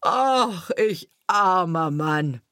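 A woman voicing a gruff, self-pitying male wagon driver in a fairy tale, drawing out his words emphatically. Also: medium pitch, no echo, clean studio sound, natural voice. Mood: wailing. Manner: drawn-out, emphatic lamenting and scoffing.

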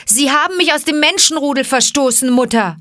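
Storybook wild boy, played by a woman: raised by wolves, proud, defiant, urgent, voice lifted high. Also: lively intonation, a little fast, sharp tone, no room sound, studio quality.

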